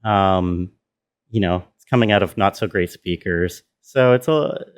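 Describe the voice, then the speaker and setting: A man's voice: deep voice, unhurried, clear, resonant tone. A man giving an informal walkthrough as a sound designer, thinking aloud as he explains. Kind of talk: casual tutorial walkthrough.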